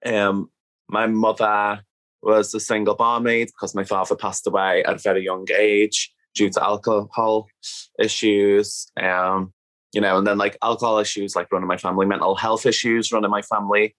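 A woman's voice: rumbling low, little pitch movement, moderate pace, fairly bright tone. A woman from Liverpool with a thick Scouse accent, talking candidly and casually as an interview guest. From Liverpool, England. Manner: candid, peppers speech with "like". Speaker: podcast guest, activist. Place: at home.

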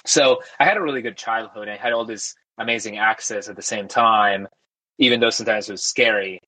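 A young man from Argentina, a guest talking casually in an interview, relaxed and talkative with frequent fillers and restarts. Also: fairly low pitch, brisk, rough recording. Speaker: guest, tech founder.

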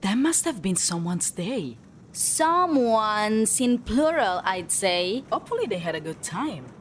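Slow, very lively high-voiced woman. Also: a little hiss or hum. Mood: casual curiosity. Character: easygoing woman idly chatting about last days. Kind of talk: audio drama dialogue.

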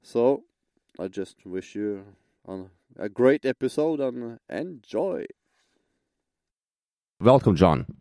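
A man's voice: medium pitch, sing-song, slow pace, pauses often, warm tone, dry recording, clean studio sound. A man from Norway with a Norwegian accent, a non-native English speaker, hosting a podcast and hesitantly searching for words. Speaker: podcast host. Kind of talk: podcast introduction and interview.

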